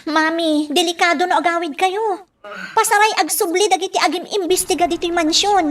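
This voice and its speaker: A woman's voice: very high voice, brisk, a bit bright. A woman acting a worried young adult daughter in an Ilocano radio drama, anxious and pleading. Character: worried grown daughter cautioning her mother. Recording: distant microphone with faint background noise.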